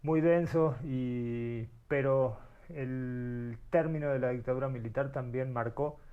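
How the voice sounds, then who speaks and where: A man's voice: medium pitch, steady tone, takes it slowly, clean tone, narrow, tinny audio. A middle-aged man born in Uruguay, telling his life story as an interview guest, thoughtful and searching for words. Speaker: guest, artist.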